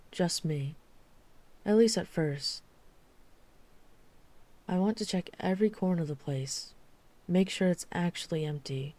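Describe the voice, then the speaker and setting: Woman acting a guarded vampire hunter lady, low soft-edged dark voice, serious and measured, asmr audio drama. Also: natural voice. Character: guarded, weary female vampire hunter who is herself a vampire.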